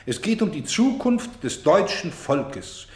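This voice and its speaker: A man's voice: a little high, big pitch swings, slow, roomy sound. A man playing a persistent, accusing interrogator in a German radio play, like a voice of conscience, with probing, sardonic, pointed delivery. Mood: mocking, sardonic.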